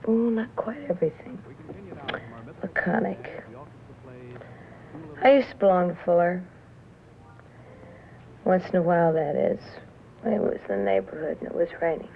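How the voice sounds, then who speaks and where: An actress playing a tough, bitter young nightclub singer who drinks, with an American accent and a sardonic, drawling delivery, in a 1950s film drama. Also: female voice, lowish voice, moderate pace, vocal fry, long pauses. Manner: sardonic, drawling, dwelling on and repeating words. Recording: tinny, hissy 1950s film soundtrack.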